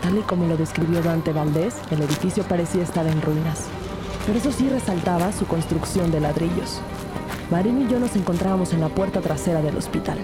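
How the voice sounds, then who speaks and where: A woman's voice: fairly low pitch, fairly quick, over loud music, natural voice. A woman with a Latin American Spanish accent playing an earnest young trainee detective in a scripted crime audio drama. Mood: grave narration. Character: young female trainee detective narrating her case, earnest and eager. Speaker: narrator and lead actor.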